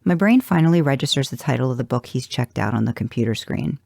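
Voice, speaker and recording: A woman narrates first-person fiction in a dark, relaxed voice with a gravelly creak. Her delivery is wry and confiding, expressive like a storyteller, and the sound is close and dry. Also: extremely low pitch, quick pace, no room sound. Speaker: podcast host reading fan fiction.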